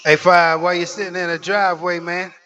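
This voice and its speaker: A man's voice: high voice, little pitch movement, fairly quick, very noisy. A man working a CB radio as operator, trading slangy chatter in a showy, rhythmic patter full of stock catchphrases. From Jamaica. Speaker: CB radio operator taking calls. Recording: dull, lo-fi CB radio audio.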